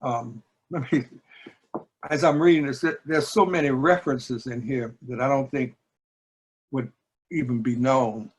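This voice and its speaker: A man, speaking in a measured, deliberate way with pauses, discussing and reading poetry. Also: mid-range voice, fairly even intonation, breathy edge. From New Orleans, United States. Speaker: book editor and poetry reader.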